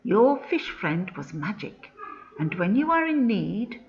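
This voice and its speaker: A woman voicing a gentle, helpful old man in a folk tale, giving measured instruction. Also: mid-range voice, very animated, lo-fi sound. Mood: gentle, reassuring.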